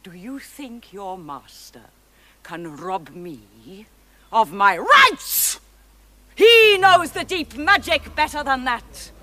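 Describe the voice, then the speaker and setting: Shrill, imperious evil witch queen. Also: animated, sharp tone, exaggerated voice. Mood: haughty, menacing. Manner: theatrical, declamatory, slow, swelling to shrill outbursts. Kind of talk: dramatic fantasy acting.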